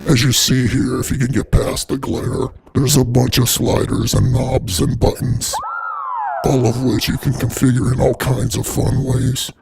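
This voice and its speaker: A man with a gravelly, husky, effected voice narrating a product unboxing in a casual, sweary, irreverent way. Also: mid-range voice, level tone, a little slow, darkish tone, slight background noise. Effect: voice changer processing.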